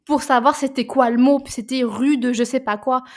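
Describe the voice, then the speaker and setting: A woman, co-hosting a casual book podcast, animated and often repeating words as she searches for them. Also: high-pitched, normal speed. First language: French. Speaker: podcast co-host.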